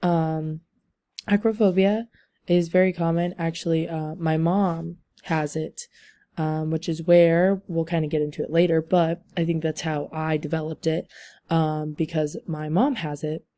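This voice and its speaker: A young woman giving a class presentation over slides, losing her voice, talking haltingly with many ums and likes. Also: sits low, flat delivery, warm tone, dry recording. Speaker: student presenter.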